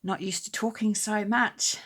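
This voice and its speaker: A woman with a slightly croaky voice hosting a casual knitting tutorial at home, explaining each step in a chatty, unhurried way. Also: mid-range voice, fairly even intonation, fairly bright tone. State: slightly croaky voice from talking a lot. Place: indoors at home.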